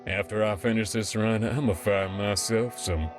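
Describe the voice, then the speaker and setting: A man voicing a sleazy, flirtatious middle-aged trucker with a drawn-out, swaying, leering delivery over guitar music. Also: on the low side, steady tone, close to an everyday voice. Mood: boastful.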